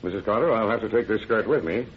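An actor playing a shrewd, polite but firm American country sheriff questioning people in an old-time radio drama. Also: male voice, on the low side, quick pace, slightly rough. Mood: guarded, firm. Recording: dull, noisy vintage radio recording.

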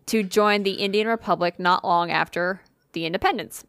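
A woman's voice: fairly low pitch, moderate pace, a bit bright, clear, resonant tone, no echo. A woman casually reading a spooky listener story aloud on a podcast, with fillers and asides. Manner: reads with occasional fillers and asides.

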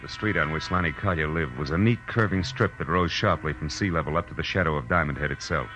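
An actor playing a tough, wry American private detective in 1940s radio style, narrating and trading punchy lines. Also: male voice, rumbling low, fast talker. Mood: wry, brisk. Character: wisecracking hard-boiled male private detective. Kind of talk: 1940s radio acting, first-person narration. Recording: muffled old radio broadcast with music.

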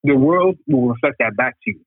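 A man calling in as a guest, calmly explaining spiritual ideas as a teacher of martial arts and metaphysics. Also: medium pitch, a little fast, breathy edge, dry recording. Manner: calm, steady, explanatory. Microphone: phone call. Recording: tinny phone line.

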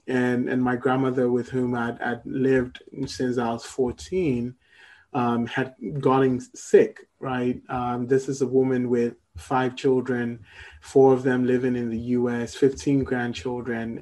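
A middle-aged man from Ghana, speaking as a guest in an interview, measured and thoughtful with frequent pauses. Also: medium pitch, dark tone. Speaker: guest, entrepreneur. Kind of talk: interview conversation.

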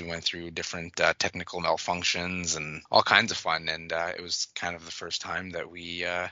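Co-host, man, very low voice, quick and bright, dry dull recording. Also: no room sound. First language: French. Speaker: podcast co-host. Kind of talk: casual chat.

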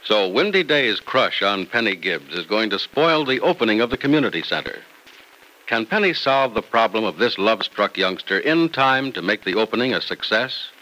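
Animated, bright radio announcer man. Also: on the low side, poor audio. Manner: polished, emphatic salesmanship. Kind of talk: 1940s radio announcing, scripted narration and ad reading. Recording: old radio broadcast recording.

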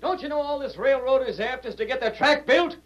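An actor playing a defiant, scornful railroad laborer in an old radio western, agitated and rough in his talk. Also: very high-pitched, fast, dull audio. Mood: agitated, alarming. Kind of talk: 1940s radio western acting. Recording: old radio drama with crowd behind.

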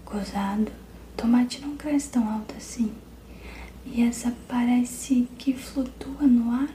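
Woman whispering a young boy, earnest, worried, soft storybook voice, dark tone, echoey room. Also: on the high side, fairly even intonation, a little slow, a little hiss or hum, lightly acted.

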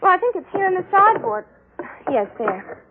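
An actress playing a sweet, trusting, easily frightened wife in a classic radio drama, with a fluttery manner. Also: female voice, extremely high pitch, sing-song, normal speed, background noise. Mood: anxious, attentive. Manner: fluttery, gushing, solicitous. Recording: tinny old radio recording.

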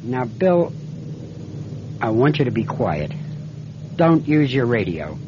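An actor playing a seasoned airline captain in a radio drama, with clipped, commanding pilot talk. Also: male voice, mid-range voice, very animated, takes it slowly. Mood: subdued, resolute. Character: seasoned male airline captain haunted by distress call. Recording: muffled old radio, loud engine noise.

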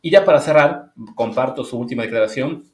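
A man's voice: medium pitch, fairly quick, a little mellow, reverberant. A man co-hosting a sports podcast, chatting casually and loosely with many filler words. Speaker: podcast co-host.

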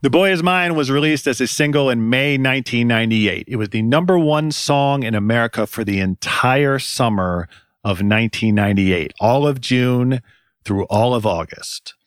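A man hosting a music podcast, reading scripted commentary in a dry, wry, deadpan way. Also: mid-range voice, moderate pace, smooth, dry recording. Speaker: podcast host.

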